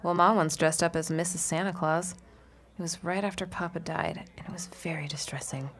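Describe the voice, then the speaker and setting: A woman's voice: on the low side, steady tone, normal speed, faint music behind, lightly acted. A woman playing a loyal, droll 1910s friend and nervous amateur snoop in an audio drama, dry and understated. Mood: dryly droll. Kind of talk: period audio drama acting. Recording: busy party chatter behind.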